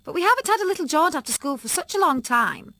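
A woman's voice: sits high, big pitch swings, quick pace, pushed and bright, no room sound, pitched above the performer's own voice, exaggerated voice. A woman voicing a fretful, controlling middle-aged mother with a wheedling manner.

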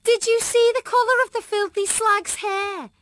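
A woman's voice: very high voice, fairly even intonation, moderate pace, fairly bright tone, no room sound, pitched above the performer's own voice. A woman voicing a spiteful schoolgirl bully who mocks in a silky, jeering way. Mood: sneering.

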